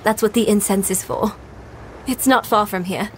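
An actress playing an earnest, determined young apprentice in a dramatic fantasy game, with a direct delivery. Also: female voice, medium pitch, moderate pace, slight background noise, natural voice.

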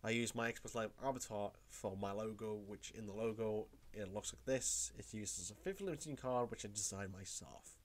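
A man's voice: fairly low pitch, normal speed, hardly any pauses. A young man with a cold, rambling through a casual tutorial vlog from his room at home. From Wales. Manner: rambling, repeats himself. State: has a cold. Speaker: YouTuber.

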